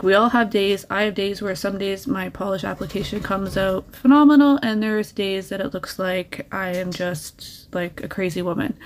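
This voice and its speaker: A woman narrates her nail painting in a relaxed, chatty way, dropping practical tips and encouragement as she goes. Her voice sits at a middle pitch with an easy, conversational flow. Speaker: nail art creator. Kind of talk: casual tutorial voice-over.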